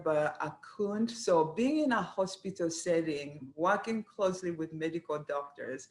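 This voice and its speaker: Woman, middle-aged, earnest and measured, roomy echo. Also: low-pitched. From Rwanda. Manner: earnest, measured, pauses often with "uh". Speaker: dietetics program director, guest. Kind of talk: interview answers. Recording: echoey room.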